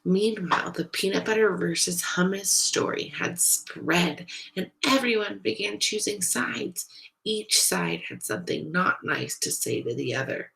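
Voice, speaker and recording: A woman reading a picture book aloud to young children in an expressive, deliberate way, as a storyteller. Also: lowish voice, creaky, poor audio. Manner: animated, deliberate, pauses to ask listeners questions.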